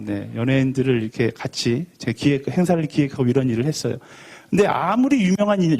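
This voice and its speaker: A man's voice: a little high, mellow. A young man preaching a sermon to a college group in a conversational way, with rhetorical questions and joking asides. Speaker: youth pastor.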